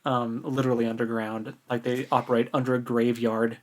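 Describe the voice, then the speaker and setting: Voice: medium pitch, little pitch movement, brisk. A podcast co-host with an American accent, chatting informally and with some amusement about a book. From United States. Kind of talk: casual book discussion.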